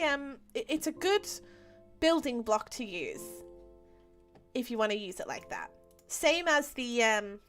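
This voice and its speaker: A young woman chatting casually while she streams a game, with lots of ums and easy laughs. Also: fairly high pitch. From Australia. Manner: chatty, many ums and restarts, laughs easily. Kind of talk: casual live-stream chat while playing.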